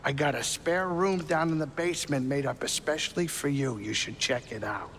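A man playing a small, four-armed, hairy alien saloon owner in a video game, gruff but warm, with a blustery, fussing manner. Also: mid-range voice, normal speed. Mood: fond, concerned. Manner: animated, fussing, excitable, blustery. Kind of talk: video game cutscene acting. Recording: long hall reverb, faint background noise.

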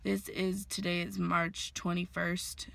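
A woman's voice: on the low side, little pitch movement, takes it slowly, creaky, fairly bright tone. Chatty young woman vlogging from her car. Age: 20s. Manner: chatty, rambling with many fillers. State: hoarse, losing her voice. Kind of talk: casual vlog chat. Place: inside a parked car. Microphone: phone at arm's length.

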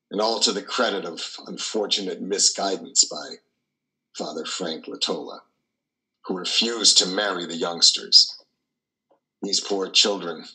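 Deep, bright-voiced older priest, acted by a man. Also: full character voice, low-quality recording. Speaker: actor reading a play. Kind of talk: dramatic monologue reading. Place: dim wood-panelled room.

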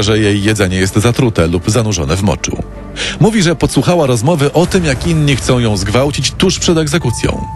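Animated male true-crime narrator over music. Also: mid-range voice. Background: prominent music bed.

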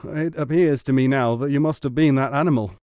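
A man's voice: mid-range voice, fairly quick, darkish tone, no room sound, studio quality, close to an everyday voice. A man voicing a gullible, bad-tempered rustic muleteer in a storybook, exclaiming and stammering. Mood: puzzled. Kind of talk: storybook character reading.

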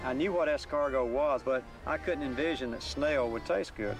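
A man's voice: medium pitch, moderate pace. Older man marveling, music underneath. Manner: excited, marveling. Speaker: reality show contestant. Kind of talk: confessional interview and casual chat.

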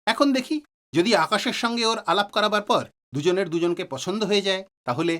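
A man's voice: high-pitched, lively intonation, fairly quick, a bit bright. An actor playing a reassuring middle-aged male doctor and family friend in an audio drama, explaining with authority and some English words. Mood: assured, practical.

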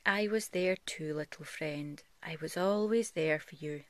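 A woman's voice: low voice, measured pace, a little hiss or hum. A woman voicing a kindly magical snowman from a storybook, a loyal friend with a soothing, friendly manner. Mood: reassuring.

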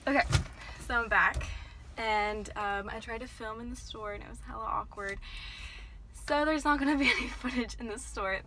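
A woman's voice: on the high side, normal speed. A young American woman chatting to camera in a casual, upbeat, informal vlog style. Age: young adult. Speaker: vlogger. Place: parked car and bedrooms indoors. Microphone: phone camera at arm's length. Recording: noisy phone-camera vlog audio.

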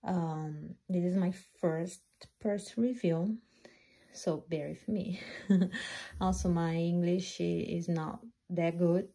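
Brazilian woman in her 30s, Portuguese accent, warm low voice, unhurried casual vlog delivery. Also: steady tone, gravelly. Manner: halting, searching for words, laughs often. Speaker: purse reviewer. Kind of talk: casual product review. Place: small craft room indoors. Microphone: phone camera.

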